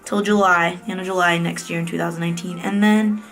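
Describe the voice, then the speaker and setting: A woman's voice: fairly low pitch, fairly even intonation, brisk, a bit bright, soft background music, poor audio. A woman in her late twenties from the United States, giving a casual, candid vlog update in a chatty way, with fillers and self-corrections. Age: late 20s. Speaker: vlogger. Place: office private room at night.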